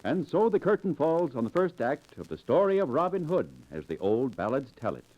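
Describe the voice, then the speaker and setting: A man's voice: on the high side, sing-song, a little fast, lo-fi sound. A man narrating and announcing a radio drama in formal, dramatic 1940s storytelling style. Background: loud music.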